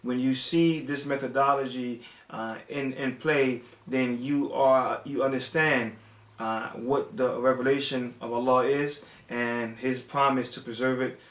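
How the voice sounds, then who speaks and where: A man in his thirties, a father and religious teacher, gives an informal talk to viewers with many pauses, fillers and restarts. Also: medium pitch, steady tone, fairly bright tone. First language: English. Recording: echoey room.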